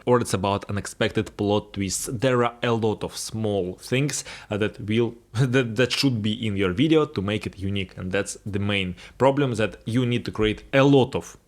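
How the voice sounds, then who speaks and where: A man with a Russian accent, a non-native English speaker, explaining YouTube formats in a talking-head video and pausing often on fillers. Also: fairly low pitch. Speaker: YouTube strategy creator.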